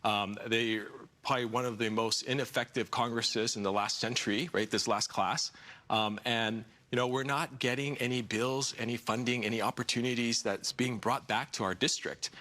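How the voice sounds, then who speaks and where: A man's voice: mid-range voice, fairly even intonation, moderate pace, bright, forceful tone. A man in his forties, a candidate answering questions in a TV news studio, earnest, with frequent fillers and repeated words. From United States. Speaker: congressional candidate. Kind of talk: TV studio interview.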